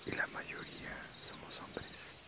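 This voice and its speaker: A young werewolf played by a man speaks entirely in a breathy whisper. He is friendly and unassuming, casually confiding in an ASMR fantasy roleplay. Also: slow pace, natural voice. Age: young adult.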